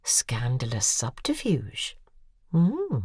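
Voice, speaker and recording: An actress playing a persuasive, bitter middle-aged woman writer in an audio drama, intense and sardonic, with a husky voice. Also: very deep voice, huge pitch swings, takes it slowly, darkish tone, no room sound, studio quality, heavily acted. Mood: scornful.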